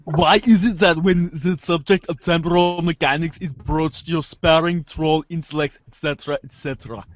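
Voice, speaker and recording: A man reads as a brainy teenage girl, sardonic and skeptical. The voice is somewhat high for a man and delivers long, dry, wordy lines. The recording is close but muffled and lo-fi. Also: fairly even intonation, moderate pace, no room sound, close to an everyday voice. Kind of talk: readers theater of web comic chat logs. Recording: dull, lo-fi microphone sound.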